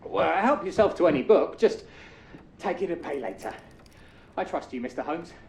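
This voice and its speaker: An actor playing a shy, flustered bookseller, hesitant and evasive, in a scripted game. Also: male voice, fairly high pitch, animated, normal speed, creaky, echoey room, a little hiss or hum.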